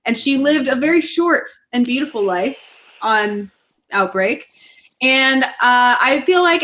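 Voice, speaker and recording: Eager bright-voiced woman player. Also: fairly high pitch. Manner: eager and upbeat, talks with her hands. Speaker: tabletop game player. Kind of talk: casual chat on a live stream.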